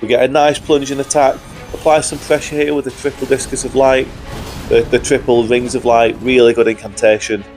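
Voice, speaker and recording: Hoarse man commenting on gameplay. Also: mid-range voice, level tone, brisk, darkish tone, soft background music. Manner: upbeat, chatty, says "guys" often. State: hoarse from a cold. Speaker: gaming YouTuber.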